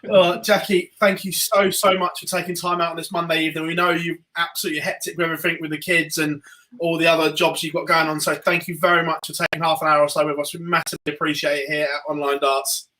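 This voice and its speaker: A man's voice: on the high side, flat delivery, fast talker, pushed and bright, low-quality recording. A man hosting a live sports chat show in a polite, appreciative way.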